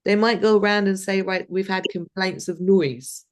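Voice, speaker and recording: A woman's voice: medium pitch, fairly even intonation, moderate pace. A woman from Iran who hosts a casual discussion, speaking reflectively with many fillers.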